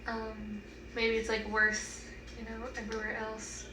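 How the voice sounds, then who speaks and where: A woman's voice: a little high, monotone, a little slow. A young woman giving hesitant, casual answers in an interview. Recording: echoey, distant, noisy.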